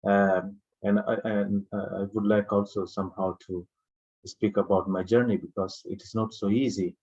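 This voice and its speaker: Man in his thirties, Afghan accent, very low voice, halting testimony, searching for words, dull muffled call audio. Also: level tone, darkish tone, husky. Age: 30s. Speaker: invited speaker, refugee advocate. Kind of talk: personal testimony at an online assembly.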